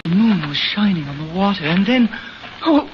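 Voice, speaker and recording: An actor playing a dazed, fearful Indian prince in an old-time radio drama, with a theatrical, trembling manner. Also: male voice, sits high, expressive, normal speed. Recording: dull, noisy old radio recording.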